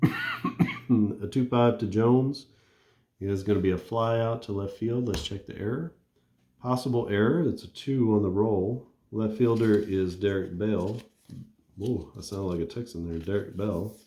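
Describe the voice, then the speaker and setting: A man with a low, warm voice talks through a tabletop baseball replay at an easy, conversational pace. He thinks aloud between rolls as if calling the game himself. His voice rings a little in a roomy, echoing space. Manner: relaxed and conversational, thinking aloud while rolling. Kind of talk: casual play-by-play of a dice baseball game.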